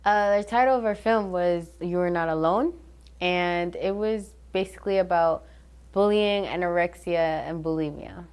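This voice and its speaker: Low-voiced teen girl, casual and chatty. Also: normal speed, darkish tone, clean tone. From New Jersey. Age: teens. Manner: informal, uses "like" a lot, laughs easily. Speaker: guest, student filmmaker. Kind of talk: casual interview answers.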